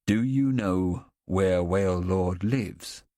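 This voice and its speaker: A man voicing a calm adult policeman in an audiobook, questioning gently and steadily. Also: fairly low pitch, measured pace, dry recording, studio quality, heavily acted. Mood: patient probing.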